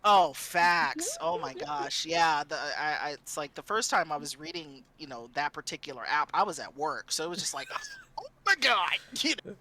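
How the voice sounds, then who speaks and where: A woman's voice: sits low, big pitch swings, normal speed, pushed and bright. A woman from Southern California chatting casually as a streamer on a panel, in an informal, rambling way peppered with "you know". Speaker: visual novel streamer, panelist.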